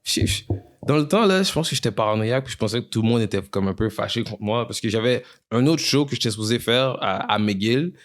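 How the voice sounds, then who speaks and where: A man's voice: fairly low pitch, animated, fast. A man from Quebec with a Quebec French accent, a guest musician talking casually, whose first language is neither French nor English, mixing English slang into his French. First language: a language other than French or English. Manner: loose, slangy, mixes English into his French, frequent restarts. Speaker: guest rapper. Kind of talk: casual podcast conversation.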